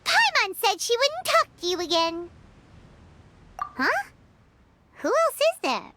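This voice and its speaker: A woman voicing a tiny, childlike fairy companion in a video game, greedy and easily offended, with a squeaky bubbly delivery. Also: wildly swooping pitch, slow pace, noisy background, exaggerated voice. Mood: puzzled, excitable. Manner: refers to herself in third person, bubbly. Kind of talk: video game character acting.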